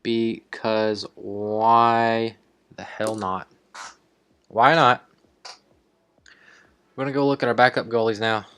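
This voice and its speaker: A man's voice: lowish voice, steady tone, slow pace, pauses often, a bit bright, smooth. A man hosting a video game series, thinking aloud in casual, rambling commentary with some offhand swearing. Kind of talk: casual improvised gameplay commentary.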